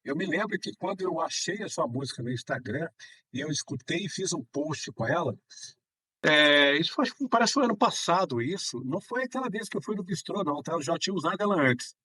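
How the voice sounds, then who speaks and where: Male podcast guest, Minas Gerais Brazilian accent. Slightly husky, low-key dry sound, mid pitch, chatty and meandering. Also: big pitch swings, brisk, bright, forceful tone, no room sound. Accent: Brazilian Portuguese, Minas Gerais. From Belo Horizonte, Brazil. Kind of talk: casual conversational interview answers.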